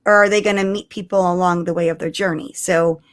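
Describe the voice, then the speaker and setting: A woman's voice: lowish voice, steady tone, fast. A woman hosting a casual live fan discussion, talking informally. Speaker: live stream host.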